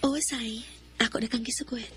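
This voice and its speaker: Brief: a hurt young woman in a radio drama, bright voice, high-ish, emotional. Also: steady tone, moderate pace, husky. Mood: tender. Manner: emotional, soft and tearful at times. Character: heartbroken young woman falling in love again.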